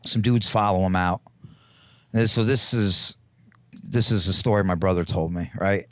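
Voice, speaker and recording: A man's voice: deep voice, moderate pace, bright, forceful tone, no echo. A man, a comedian and drug counselor, chatting as a podcast guest, rambling and swearing, restarting his sentences. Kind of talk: casual chat.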